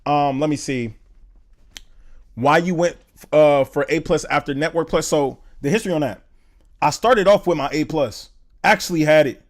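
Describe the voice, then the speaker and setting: A young man in his twenties from Indiana, chatting casually on a live stream, loose and slangy, reading chat aloud and thinking out loud. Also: fairly high pitch, moderate pace. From Indiana, USA. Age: 20s. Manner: slangy, loose, says "bro" a lot.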